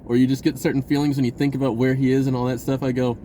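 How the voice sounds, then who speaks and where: A man telling a personal story in a casual, rambling vlog style, acting out bits of dialogue in an animated way. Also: mid-range voice, rapid-fire, slight background noise, rough recording. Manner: animated, acts out dialogue, chuckles often.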